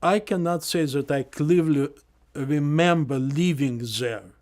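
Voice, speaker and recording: Older male witness recalling, slow, halting, English, voice a touch high. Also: darkish tone. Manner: slow and halting, with many pauses and fillers; non-native grammar, articles often dropped. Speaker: interviewee. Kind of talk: oral history testimony.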